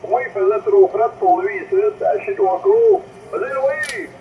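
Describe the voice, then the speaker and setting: A man's voice: very high voice, wildly swooping pitch, a little slow, warm tone. Over a CB radio link, a man with a Quebec French accent chats casually as an operator. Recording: muffled, noisy CB radio reception.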